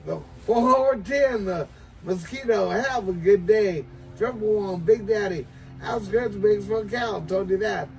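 High-pitched gravelly American man on CB. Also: measured pace, music in the background, low-quality recording. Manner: showy, repeats catchphrases. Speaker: CB radio operator. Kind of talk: CB radio banter, slangy call-outs. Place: small cluttered indoor radio room or shed. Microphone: desk CB microphone in hand, filmed from across room.

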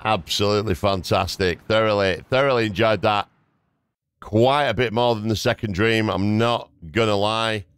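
A man's voice: lowish voice, fairly even intonation, moderate pace, a bit bright, clear, resonant tone. A man hosting a gaming video, giving casual, laid-back and appreciative playthrough commentary into a close mic. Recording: close dry mic, game music behind.

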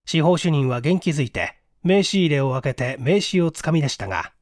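Lively high-pitched male story reader. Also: a little fast, no echo, studio quality. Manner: theatrical, acts out each character's dialogue. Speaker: audiobook reader. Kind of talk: dramatic scripted narration of a mystery story.

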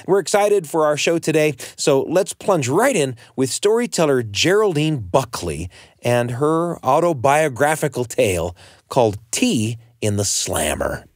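American guy hosting a story show, really animated pitch, chatty and emphatic, dry close sound. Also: measured pace, no echo. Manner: emphatic, addresses listener with rhetorical questions. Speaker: radio show host. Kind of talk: storytelling radio introduction, conversational narration.

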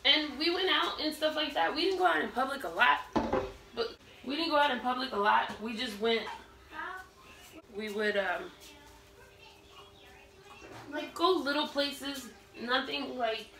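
Chatty gravelly woman in a roomy kitchen. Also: sits high, measured pace, long pauses, background noise. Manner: rambling, chatty, many fillers and restarts. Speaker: cooking vlogger. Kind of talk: casual storytelling while cooking. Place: home kitchen, indoors. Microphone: camera set across the room. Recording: roomy kitchen, distant camera, pan clatter.

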